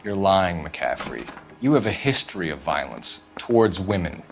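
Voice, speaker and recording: An actor playing a stern, relentless police detective in a dramatic 1940s crime game. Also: male voice, deep voice, big pitch swings, normal speed, gravelly, a little hiss or hum, exaggerated voice. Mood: pressing, accusing. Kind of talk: dramatic video game acting.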